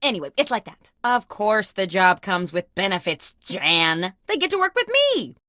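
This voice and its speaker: A woman's voice: mid-range voice, big pitch swings, moderate pace, pushed and bright, no echo, studio quality. A woman playing a clueless, self-important office boss in a comic video game, with a bossy, theatrical delivery. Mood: breezy, oblivious. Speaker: video game character host. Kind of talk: comic scripted phone monologues.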